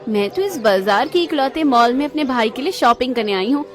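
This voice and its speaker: Haughty, high-pitched spoiled rich girl voiced by a woman. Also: expressive, fairly quick, faint music behind, a faint crowd. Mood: sneering. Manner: taunting, showy, exaggerated. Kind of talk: cartoon moral-story dialogue in Hindi.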